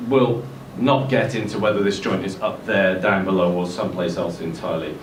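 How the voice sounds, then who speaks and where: A man's voice: sits low, steady tone, fairly quick. A man narrating a short story dramatically at a live indoor event. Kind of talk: dramatic live fiction reading. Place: bar event room, indoors. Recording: roomy distant live-event recording, faint noise.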